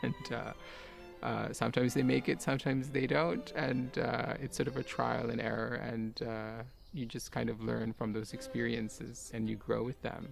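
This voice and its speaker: A man who grew up in Kuwait, a garden owner and artist, talking in a soft-spoken, musing way with light laughs. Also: medium pitch, little pitch movement, normal speed, gravelly, soft background music. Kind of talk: reflective personal talk for a garden video.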